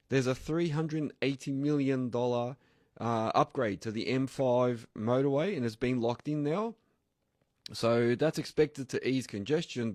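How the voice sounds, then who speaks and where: A man with an Australian accent hosting a live podcast, his voice croaky and hoarse from illness, chatting in a rambling way and thanking listeners by name. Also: mid-range voice, no echo, studio quality. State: hoarse, sick voice. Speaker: podcast host.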